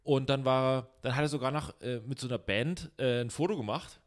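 A man's voice: medium pitch, brisk. Podcast co-host, young man, loose and teasing, plenty of ums. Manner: rambling, joking, many fillers. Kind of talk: casual chat.

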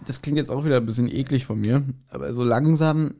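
A man's voice: medium pitch, quick pace, gravelly, dark tone. A man chatting casually as a podcast co-host, wry and teasing. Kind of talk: casual chat.

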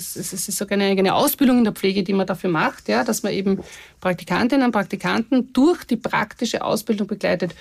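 Austrian woman, care provider on a panel; gravelly, dry close mic, measured and reflective. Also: medium pitch, a little mellow, no room sound. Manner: thoughtful, many fillers and restarts. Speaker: care organization representative. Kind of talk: podcast discussion.

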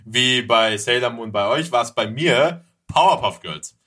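A man chatting casually as a podcast panelist, with an animated storytelling manner. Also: mid-range voice, unhurried. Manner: animated storyteller, acts out mock quotes and sound bits. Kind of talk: casual podcast chat about childhood cartoons.

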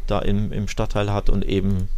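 A man's voice: lowish voice, monotone, normal speed, dark tone. Man chatting enthusiastically on a football podcast. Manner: slangy, enthusiastic storytelling. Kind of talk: casual football podcast chat.

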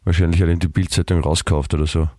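A man with an Austrian German accent co-hosting a podcast in playful, rambling banter, his voice hoarse and full of halting restarts. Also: deep voice, flat delivery, mellow, no room sound, studio quality. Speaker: podcast co-host. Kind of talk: casual banter.

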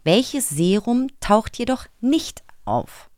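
A woman's voice: fairly high pitch, very animated, takes it slowly, a little mellow, no room sound, studio quality. A woman hosting a casual chat and quiz in a conversational way. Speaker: host.

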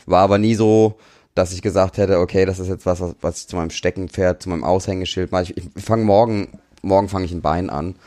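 A man with a deep voice speaks as an interview guest. His talk is casual and colloquial, full of slang and English loanwords. The sound is dry, with very little room in it. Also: steady tone, fast talker, mellow, no echo. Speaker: interview guest, tattoo artist.

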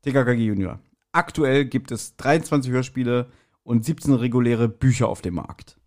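A man's voice: medium pitch, normal speed, darkish tone. A man co-hosting a podcast in speech, chatting casually with a jokey, rambling manner. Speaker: podcast co-host.

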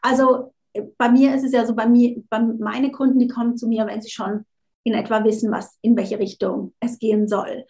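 Chatty higher-voiced woman, coach. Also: little pitch movement, normal speed, gravelly, darkish tone. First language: not German, unsure of her German. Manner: chatty, restarts words, mixes in English phrases. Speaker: interview guest, coach. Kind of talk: casual interview talk.

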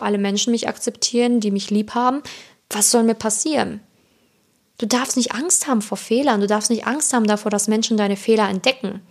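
Creaky higher-voiced woman, chatty podcast coach. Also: a little mellow. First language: not German. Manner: chatty, direct, addresses listener personally. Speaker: podcast host and self-love coach. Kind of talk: informal motivational podcast monologue.

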